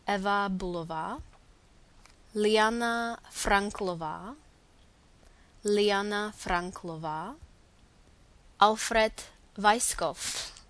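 A young adult woman with a Slovak accent, whose first language is Slovak, slowly pronouncing names and repeating each word twice for a dialect recording. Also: medium pitch, a bit bright. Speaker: dialect archive speaker. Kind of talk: reading names aloud for a pronunciation recording.

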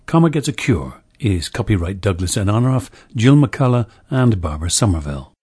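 A man with a low, husky voice reads a formal announcement in a plain, businesslike way. The sound is dry and close, with no room echo. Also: sing-song, brisk, a little mellow. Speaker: archive announcer.

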